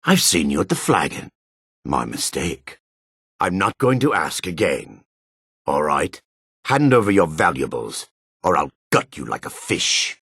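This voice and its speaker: An actor playing a gruff, haughty dark elf rogue in a video game, with a gravelly, raspy voice and a curt, disdainful, suspicious way of talking. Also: male voice, rumbling low, sing-song, a little slow, dry recording, clean studio sound, exaggerated voice. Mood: menacing. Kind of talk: video game character dialogue.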